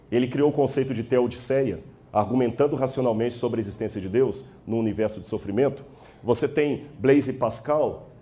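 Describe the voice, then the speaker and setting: A man's voice: mid-range voice, dark tone. A man with a Brazilian accent giving a debate speech in an emphatic, didactic manner, checking in with his listeners. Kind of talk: public debate speech, rhetorical lecturing.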